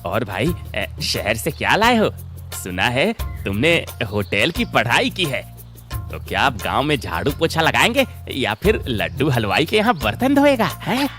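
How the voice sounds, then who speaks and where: A man's voice: mid-range voice, wildly swooping pitch, a little slow, fairly bright tone, soft background music. Man as teasing, conspiratorial village gossip. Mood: mocking. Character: mocking gossipy village busybody man, conspiratorial tall-tale teller. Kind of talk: cartoon story acting.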